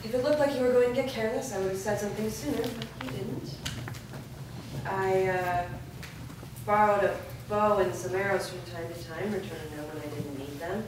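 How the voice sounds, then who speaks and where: A woman's voice: medium pitch, fairly even intonation, moderate pace, lightly acted. A woman playing a determined, guarded grown daughter in a staged fantasy drama reading. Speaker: actor. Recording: echoey room, distant mic, background noise.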